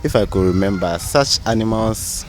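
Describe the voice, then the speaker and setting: A man's voice: lowish voice, moderate pace, over music. A man with a Ghanaian accent, a guest in a casual conversation, hesitant and thoughtful as he searches for words.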